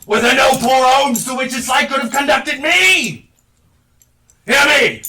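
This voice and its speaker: A man's voice: extremely high pitch, expressive, heavily acted. A man on stage playing the tormented, mournful and stern ghost of a dead businessman, declaiming theatrically. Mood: anguished, thundering. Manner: slow, declamatory, breaking into anguished shouts and wails. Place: theatre stage, recorded live. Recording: distant live stage play recording.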